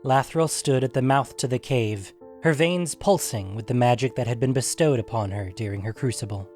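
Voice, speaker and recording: A man narrating a scripted fantasy story in a measured, deliberate, dramatic way. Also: mid-range voice, a little mellow, faint music behind. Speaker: narrator and host of an audio fiction show.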